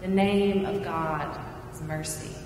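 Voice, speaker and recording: Middle-aged lady preacher, calm and earnest, big echoey sanctuary, kinda far from the mic. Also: low-pitched, a little hiss or hum. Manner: measured, earnest preaching with falling phrase ends. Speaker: pastor. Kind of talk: sermon. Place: large church sanctuary. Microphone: pulpit mic, far camera.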